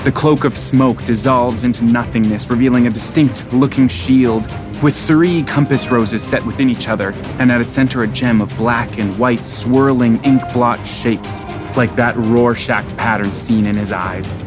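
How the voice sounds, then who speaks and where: A man narrates descriptive fantasy scenes from a script. His pitch is moderate, and music plays clearly beneath him. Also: steady tone, moderate pace. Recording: prominent music bed behind narration.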